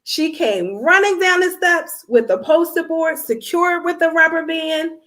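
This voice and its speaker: A woman's voice: very high voice, a little slow, fairly bright tone. A woman reading a children's storybook aloud expressively, speaking directly to her young listeners.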